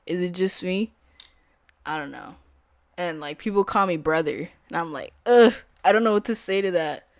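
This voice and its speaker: Young woman, lowish voice, laid-back streamer talk, dry close sound. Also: normal speed, dry recording. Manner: casual, filler-heavy, slangy. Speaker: day trader streaming live. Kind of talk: casual live-stream chat.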